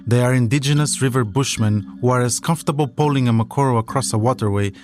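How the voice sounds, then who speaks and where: A man's voice: medium pitch, fast talker, background noise. A man hosting a podcast, reading scripted documentary narration as a storyteller. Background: music.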